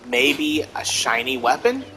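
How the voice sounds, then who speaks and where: A man's voice: a little high, big pitch swings, takes it slowly, bright, forceful tone, slight background noise, poor audio. A man playing a video game and commenting casually as he goes. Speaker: let's play gamer.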